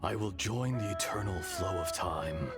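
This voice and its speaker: A deep, slow voice of an ancient stone dragon spirit, grave and formal. He sounds worn with age and at peace. Game music plays behind him. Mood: solemn. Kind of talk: game voice acting.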